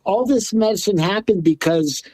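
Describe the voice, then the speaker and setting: Middle-aged guy from LA, guest on a podcast, earnest and thoughtful, repeats himself a lot, kinda high voice, dry sound. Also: normal speed, mellow, no room sound. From Los Angeles. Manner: earnest, reflective, repeats words as he thinks. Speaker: guest, drum maker and healer. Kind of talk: interview conversation.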